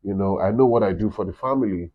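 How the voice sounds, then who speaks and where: Man, Nigerian accent, deep low voice, rambling chatty storyteller, muffled lo-fi recording. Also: fast talker. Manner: rambling storytelling, frequent "you know". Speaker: campus radio director, guest. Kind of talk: casual interview chat. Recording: dull lo-fi sound.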